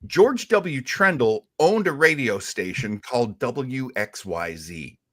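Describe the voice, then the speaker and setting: A man hosting a radio show, telling stories in a casual, animated and deliberate way with emphatic repetition. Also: mid-range voice, no echo, studio quality. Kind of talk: casual storytelling talk show.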